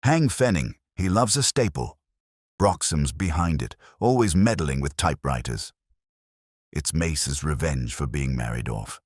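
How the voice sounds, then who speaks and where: A man's voice: extremely low pitch, animated, measured pace, no echo, clean studio sound, full character voice. A man voicing accusing small-town hecklers in an audiobook mystery, blunt and jeering.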